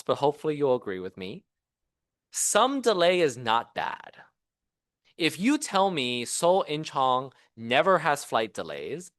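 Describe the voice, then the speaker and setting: Animated male lecturer, swooping intonation. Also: medium pitch, no echo, clean studio sound. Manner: animated, conversational, explains with rhetorical questions and acted-out quotes. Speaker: university professor giving a seminar talk. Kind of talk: engineering lecture. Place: seminar room at a university.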